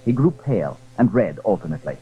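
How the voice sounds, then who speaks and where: A man's voice: fairly low pitch, sing-song, fast, slightly rough. A man narrating a literary drama in a formal, scripted storytelling style. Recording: very muffled, lo-fi old recording.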